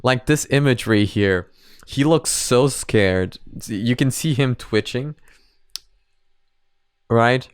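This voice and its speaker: A man's voice: medium pitch, takes it slowly, pauses often, clean tone, no room sound, clean studio sound. A man hosting a reaction video, giving casual, conversational commentary.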